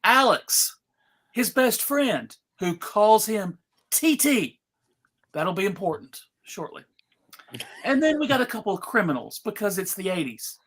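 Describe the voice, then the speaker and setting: A host casually running a live event, announcing in a theatrical, mock-serious way with halting pauses. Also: mid-range voice, very animated, a bit bright. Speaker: panel host and narrator.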